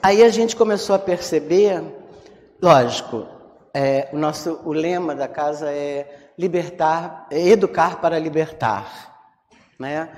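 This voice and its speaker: A woman's voice: low-pitched, slow pace, a little mellow. A woman with a Rio de Janeiro Brazilian accent gives an informal religious talk, conversational and full of check-in questions to her listeners. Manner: conversational, acts out inner complaints, frequent check-in tag questions. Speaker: Spiritist lecturer. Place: reverberant hall, public talk. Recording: long hall reverberation.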